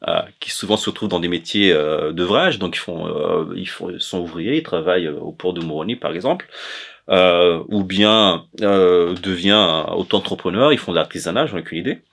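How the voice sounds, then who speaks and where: Low-voiced, halting man explaining. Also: creaky, fairly bright tone. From Comoros. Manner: hesitant, halting, often correcting himself. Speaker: presenter of an educational chronicle on language. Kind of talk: informal explanatory lecture.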